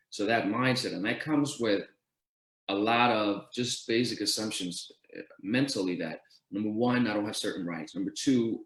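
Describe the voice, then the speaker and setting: A man from Denmark, a guest entrepreneur answering town hall questions earnestly, often restarting his sentences and counting off his points. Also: fairly low pitch. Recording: roomy echo.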